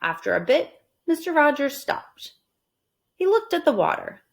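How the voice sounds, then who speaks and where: A woman reads a picture book aloud for children. Her voice is fairly high and swoops widely in pitch. She takes it slowly, with deliberate, expressive phrasing. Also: vocal fry. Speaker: storytime reader. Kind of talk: children's storybook read-aloud.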